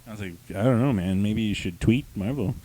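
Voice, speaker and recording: A man's voice: lowish voice, a little fast, noisy background. A man hosting a casual comic book review show, talking in a slangy, profane, rambling way. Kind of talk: casual banter.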